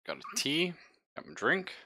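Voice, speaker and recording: A man's voice: on the high side, wildly swooping pitch, moderate pace, sharp tone, slightly rough. A man casually chatting and narrating as game master of a live-streamed tabletop roleplaying game.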